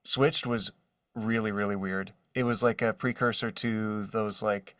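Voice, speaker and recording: A man in his forties speaking casually as an interview guest, telling stories in an animated manner from his studio. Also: on the low side, a little slow, smooth, dry recording, recorded from a distance. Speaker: guest, voice actor. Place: his own voiceover studio.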